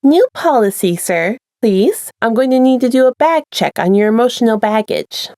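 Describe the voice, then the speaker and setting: A woman plays a chipper airline attendant from a dark fan story. Her voice is lifted and sing-song, all sugary customer service with something sinister underneath. The sound is close and dry. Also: fairly high pitch, expressive, moderate pace, dry recording, voice pushed up, close to an everyday voice. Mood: falsely apologetic.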